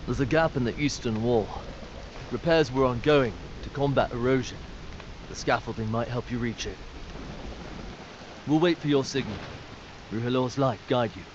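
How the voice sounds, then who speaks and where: An actor playing a pragmatic fantasy war commander in a video game, grave and decisive. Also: male voice, mid-range voice, moderate pace, long pauses, noisy background, natural voice. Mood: grave, approving. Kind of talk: video game character acting. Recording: dull audio under music.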